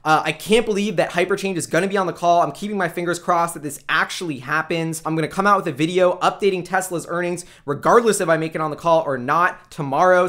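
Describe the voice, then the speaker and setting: Male channel host, slightly high voice, informal investor commentary delivered with earnest enthusiasm. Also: fairly bright tone. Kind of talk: casual informal commentary to camera, addressed to followers.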